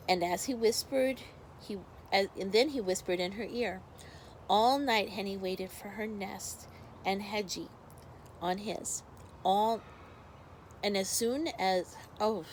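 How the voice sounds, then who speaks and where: A woman's voice: medium pitch, slight background noise, low-quality recording. A woman teacher speaking expressively to young children in a story read-aloud with casual asides. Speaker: first grade teacher.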